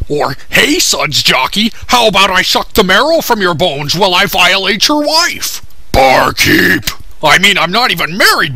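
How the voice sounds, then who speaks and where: A man's voice: high-pitched, bright, forceful tone, no room sound, exaggerated voice. A man voicing a put-upon human barkeep in a cartoon parody, ranting in a whiny, aggrieved way. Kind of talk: comedic cartoon parody dub.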